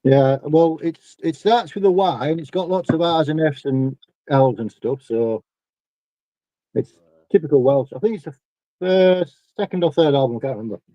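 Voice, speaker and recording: A man in his forties chatting casually on a video call and talking about albums in a relaxed way. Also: on the high side, normal speed, dry recording, dull audio. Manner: laid-back, talks through records. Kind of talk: casual chat. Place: home room with a dark curtain behind. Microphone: webcam video call.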